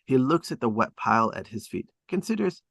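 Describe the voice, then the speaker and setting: A man's voice: medium pitch, very animated, a little fast, gravelly, a bit bright, no room sound, clean studio sound. A man reading stage directions as dramatic scripted narration at a table read.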